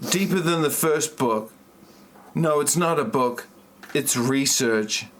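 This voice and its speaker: A man playing an earnest, awkward middle-aged lover in an amateur parody audio play, with deliberately stilted delivery. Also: medium pitch, fairly bright tone, a little hiss or hum. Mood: solemn.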